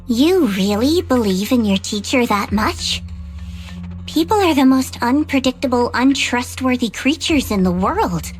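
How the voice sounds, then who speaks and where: A woman's voice: fairly high pitch, expressive, measured pace, faint music behind, poor audio. Cool, cynical young woman. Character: cynical, guarded, coolly analytical young woman in fantasy game. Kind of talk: English video game voice acting.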